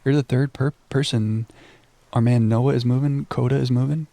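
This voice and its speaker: A man's voice: mid-range voice, fairly even intonation, moderate pace, vocal fry, dark tone, no echo. A man hosting a game livestream, chatting casually and self-deprecatingly with viewers and reading on-screen text aloud into a close microphone.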